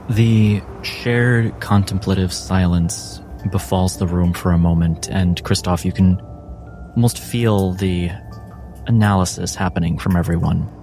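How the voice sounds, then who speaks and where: Slow, low-voiced man running a tabletop game. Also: mellow. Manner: measured, deliberate phrasing with dramatic pauses. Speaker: game master. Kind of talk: dramatic tabletop game narration.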